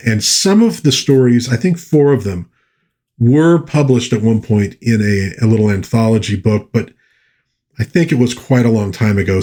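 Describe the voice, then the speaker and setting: A man's voice: fairly low pitch, darkish tone. A man hosting a casual review of old pulp fiction, talking conversationally to viewers as an enthusiastic fan and often correcting himself as he rambles. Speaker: host of a pop culture channel reviewing old pulp fiction. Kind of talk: casual rambling book review to viewers, with short read-aloud passages.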